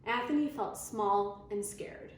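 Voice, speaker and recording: A woman reading a children's story aloud in an expressive storytelling manner. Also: mid-range voice, slow pace. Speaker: storybook reader. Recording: very echoey room, faint noise.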